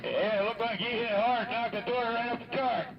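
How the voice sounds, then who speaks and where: A man's voice: very high voice, a little fast, a loud crowd. A man casually reporting road conditions as a driver over the radio. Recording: distant muffled radio, loud vehicle noise.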